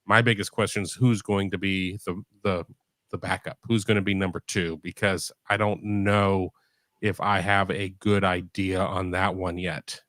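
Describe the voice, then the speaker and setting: A man's voice: sits low, level tone, normal speed, fairly bright tone, dry recording. A man calling into a sports talk show, earnest, repeating himself as he makes his point. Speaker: caller.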